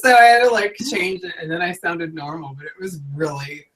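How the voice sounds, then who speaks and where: A woman's voice: lowish voice, lively intonation, fairly quick, fairly bright tone, rough recording. Chatty, laughing woman on a video call. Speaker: co-host. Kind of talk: casual video-call chat.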